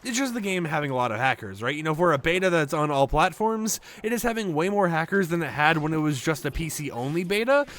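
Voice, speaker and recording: A man's voice: on the high side, lively intonation, fast, hardly any pauses, fairly bright tone, a little hiss or hum. A man giving casual gaming commentary in a conversational, rambling way, often checking in with little tag questions. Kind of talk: casual video commentary over gameplay.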